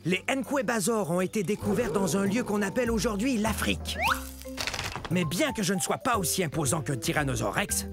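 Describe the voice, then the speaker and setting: A man's voice: high-pitched, expressive, normal speed, over loud music. A man voicing a proud, secretive little meat-eating dinosaur in a children's cartoon, confiding in a dramatic, self-important way. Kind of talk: animated children's educational cartoon monologue.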